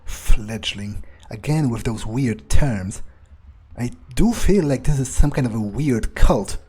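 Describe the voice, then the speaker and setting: A man's voice: medium pitch, expressive, slow pace, warm tone, husky. A man improvising in-character commentary over a game, thinking aloud hesitantly, repeating words and swearing casually. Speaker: gamer doing in-character playthrough.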